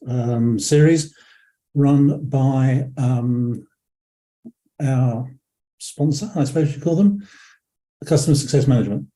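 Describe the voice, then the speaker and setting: An older man from England, croaky from a cold, hosting a webinar in a rambling way with many ums and self-corrections over a video call. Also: mid-range voice, warm tone, rough recording. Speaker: webinar presenter. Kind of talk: relaxed webinar talk.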